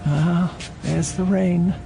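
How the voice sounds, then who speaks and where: A woman's voice: lowish voice, steady tone, slow, creaky, over music. An actress playing a frail, lonely, housebound elderly woman in a radio drama, fretful and resigned, with a plaintive, hesitant delivery. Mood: weary, resigned. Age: older.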